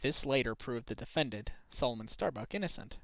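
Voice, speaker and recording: A man's voice: mid-range voice, lively intonation, a little fast, creaky, a bit bright, no room sound. A man playing an earnest, troubled defense lawyer and father in a game fan dub, brooding and self-doubting. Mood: troubled, regretful. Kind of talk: dramatic visual novel dubbing. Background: background music.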